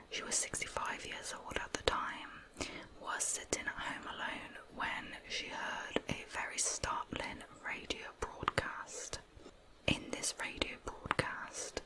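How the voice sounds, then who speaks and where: A woman narrates true crime as ASMR, whispering throughout. Her voice is breathy and unvoiced, never rising into normal speech. She tells the story in a hushed, measured way. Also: low-quality recording.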